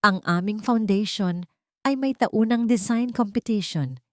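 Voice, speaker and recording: A woman's voice: medium pitch, unhurried, darkish tone, no room sound, studio quality, voice pushed up, close to an everyday voice. A woman with a Filipino accent voicing a composed, encouraging female foundation official in an audiobook, speaking formally and earnestly. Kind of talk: audiobook character dialogue.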